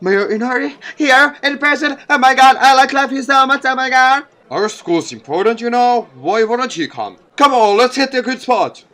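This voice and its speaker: Man putting on a squealing, high-pitched starstruck student. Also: expressive, moderate pace, hardly any pauses, pushed and bright, some chatter behind, heavily acted. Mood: starstruck excitement. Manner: gushing, breathless exclamations. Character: excitable gushing student, starstruck by the mayor. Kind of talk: visual novel character read-aloud.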